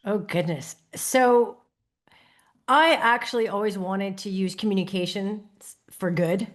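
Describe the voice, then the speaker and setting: A woman in her thirties from New York City with a New York accent, telling her story as an interview guest in an expressive, conversational way. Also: mid-range voice, measured pace. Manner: expressive storyteller, lively rises and laughs. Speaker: podcast guest, creative studio founder. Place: lives in Copenhagen.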